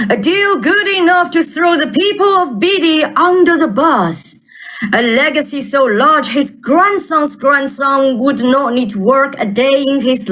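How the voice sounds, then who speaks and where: Brainy superhero sister played by a woman: high, slow, grave, highly dramatic, script-reading drama. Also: a bit bright, poor audio. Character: brainy superhero sister grimly reporting a betrayal.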